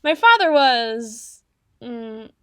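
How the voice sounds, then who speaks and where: A woman's voice: fairly high pitch, sing-song, drawn-out words, no room sound, studio quality, exaggerated voice. A woman playing a flustered, haughty teenage tsundere girl in an ASMR roleplay, bookish and socially awkward, with formal, wordy diction. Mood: flustered, defensive.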